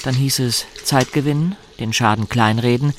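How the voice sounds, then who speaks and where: A man's voice: mid-range voice, normal speed, slight background noise. A man narrating a literary radio drama in a scripted, storytelling style.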